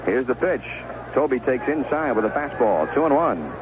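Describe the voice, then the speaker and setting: A man's voice: mid-range voice, sing-song, moderate pace, muffled recording, background noise. A man calling live baseball play-by-play in short, clipped phrases and conversational description, heard on a 1970s radio broadcast. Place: stadium broadcast booth. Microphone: broadcast microphone with headset earphone monitoring.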